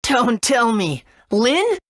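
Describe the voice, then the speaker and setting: A woman's voice: on the high side, big pitch swings, extremely slow, fairly bright tone, dry recording, clean studio sound, exaggerated voice. An actress voicing an earnest, kind and curious young boy hero in a video game. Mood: amazed.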